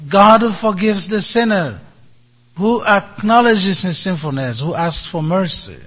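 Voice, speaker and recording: A man giving a sermon as a priest, speaking in short, deliberate phrases with many pauses. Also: high-pitched, lively intonation, fairly bright tone, breathy edge.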